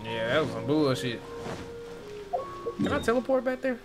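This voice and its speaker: A man's voice: on the high side, sing-song, normal speed. A man giving casual gameplay commentary, slangy and profane. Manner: slangy, profane, reacts to the characters. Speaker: video game streamer. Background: game music.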